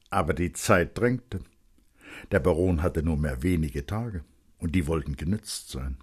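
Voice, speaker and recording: A man narrating a literary story dramatically and intensely, with pointed pauses and phrases that sink into a hush. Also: rumbling low, slightly rough.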